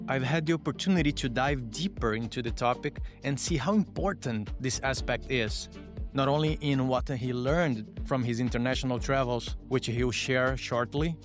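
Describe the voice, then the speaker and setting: Male podcast host, calm explanatory read over a music bed. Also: medium pitch. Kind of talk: interview podcast with scripted promo.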